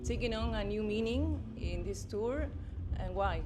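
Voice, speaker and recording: Woman interviewing over clear background noise. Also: mid-range voice, expressive, unhurried. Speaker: radio interviewer. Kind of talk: prepared interview questions.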